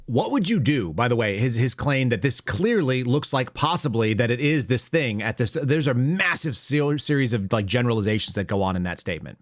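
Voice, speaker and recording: A man's voice: medium pitch, expressive, brisk, few pauses, no echo, studio quality. An American man giving opinionated, unscripted political commentary as a talk show host, blunt, conversational and emphatic.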